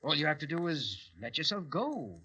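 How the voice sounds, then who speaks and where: Brooding, menacing man, intensely bright voice. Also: on the high side, very animated, breathy edge, lo-fi sound, heavily acted. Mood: taunting, sinister. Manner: theatrical, deliberate, with dramatic hesitations. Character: Harvey, brooding, menacing man fascinated by murder. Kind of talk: old-time radio horror acting, first-person narration. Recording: old radio recording with music.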